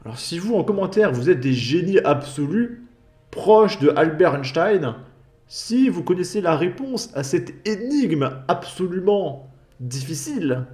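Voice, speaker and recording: A man narrating a commentary over clips, dry and sarcastic, with mocking asides. His voice sits a touch higher than most men's. It is a casual voice-over. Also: very animated, a little slow, darkish tone. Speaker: commentary channel host.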